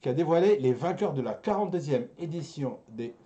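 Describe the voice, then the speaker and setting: Higher-voiced Frenchman with a mellow tone. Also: lively intonation, fairly quick, lo-fi sound. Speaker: show host presenting news. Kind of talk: casual, bantering show presentation.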